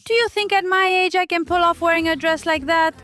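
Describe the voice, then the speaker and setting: A woman's voice: very high voice, steady tone, fairly quick, pushed and bright. A woman playing a doting, chatty aunt, gushing and fussy in an audio drama. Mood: fussing. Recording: music behind the voice.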